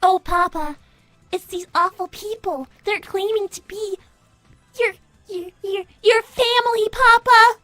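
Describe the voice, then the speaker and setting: A woman's voice: very high voice, a little slow, fairly bright tone, dry recording, faint music behind. A woman voicing a dutiful young artificial-intelligence daughter in a cartoon roleplay, polite, worried and hesitant.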